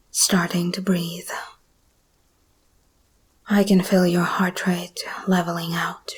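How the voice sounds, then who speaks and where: Casting: female actor as possessive, tender monster woman for ASMR roleplay; breathy whisper, predatory intensity, unhurried delivery. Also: fairly low pitch, darkish tone, heavily acted. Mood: teasing, soothing. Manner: slow, intimate, intense.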